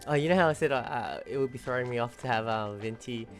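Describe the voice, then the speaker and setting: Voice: mid-range voice, fast talker, soft background music. A game streamer giving casual, chatty commentary while playing.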